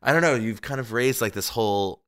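A male podcast host in casual conversation, animated and full of fillers, asking curious questions. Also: mid-range voice, brisk.

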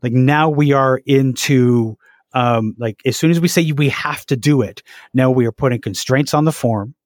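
A man's voice: mid-range voice, moderate pace, a little mellow, no echo, studio quality. A man hosting a podcast, speaking hesitantly with many fillers and restarts. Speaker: podcast host. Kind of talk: podcast interview.

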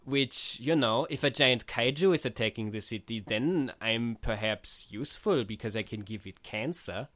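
A man playing a polite male psychiatrist superhero with a floating skull, speaking with an Austrian accent, earnest and halting with many hesitations. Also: mid-range voice, animated, fairly bright tone, dry recording, close to an everyday voice.

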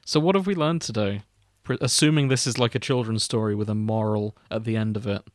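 A man's voice: lowish voice, fairly quick, no echo, clean studio sound. A man hosting a comedy podcast, reading fan fiction aloud as narrator with casual banter, prone to breaking into giggles.

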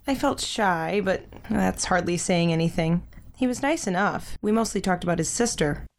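An actress playing a shy, kind, earnest young sister in a modern audio drama, speaking gently. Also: female voice, lowish voice, lively intonation, normal speed, slight background noise. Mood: casual, shy.

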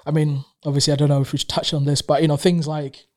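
A man's voice: on the high side, level tone, fast, a little mellow. A man in his thirties who grew up in Tanzania and speaks Swahili natively, chatting casually as a guest, rambling with many fillers and restarts. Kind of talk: casual conversation.

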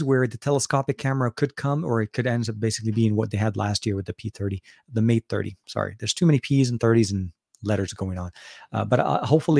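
A man's voice: medium pitch, gravelly, darkish tone, dry recording, studio quality. Lebanese man rambling through a tech Q&A. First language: Arabic. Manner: rambling, many fillers and restarts. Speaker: tech channel host answering live chat. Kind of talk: casual live Q&A.

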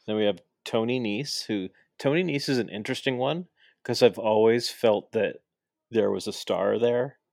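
A man's voice: fairly low pitch, moderate pace, creaky, a little mellow, dry recording, clean studio sound. A man hosting a podcast in a casual, rambling way with many fillers and restarts. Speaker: podcast host. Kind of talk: casual chat.

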